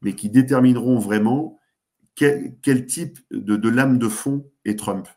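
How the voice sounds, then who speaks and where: A man speaking as a guest commentator, giving geopolitical analysis in a talk show, pausing often with fillers and restarts. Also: mid-range voice, dark tone. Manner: hesitant, many fillers and restarts.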